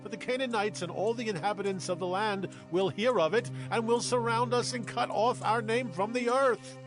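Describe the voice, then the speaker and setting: A solemn leader of ancient Israel, acted by a man, speaks in a high male voice. He declaims with fervor in dramatized scripture style. Prominent music plays behind him. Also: normal speed, lightly acted. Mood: anguished pleading. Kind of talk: dramatized Bible audio acting. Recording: loud musical score behind.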